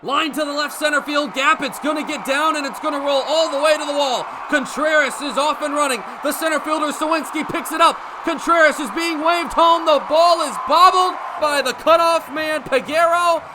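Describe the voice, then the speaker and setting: A man's voice: very high-pitched, moderate pace, hardly any pauses, background noise. A young man calling baseball play-by-play for radio, speaking in short clipped phrases as live commentary. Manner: narrates action in short clipped phrases, building to excited calls.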